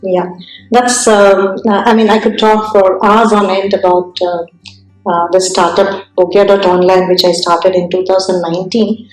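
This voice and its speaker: A woman's voice: mid-range voice, flat delivery, unhurried, a little mellow. Thoughtful Indian woman, echoey room. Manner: thoughtful, many fillers and restarts. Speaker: entrepreneur guest. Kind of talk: interview, recounting career.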